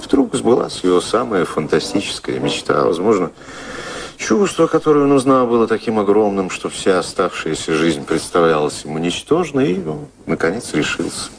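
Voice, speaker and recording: A deep, gravelly, worldly gentleman. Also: sing-song, normal speed, a little hiss or hum. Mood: philosophical, soothing. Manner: ironic, persuasive, theatrical. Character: worldly, cynical gentleman confidant, urbane and ironic. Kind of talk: Russian radio drama acting.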